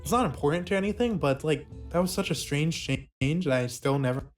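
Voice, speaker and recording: A man's voice: a little high, expressive, brisk. A man narrating an informal video essay as commentary, with faint music behind his voice. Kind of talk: informal commentary on a puppet series.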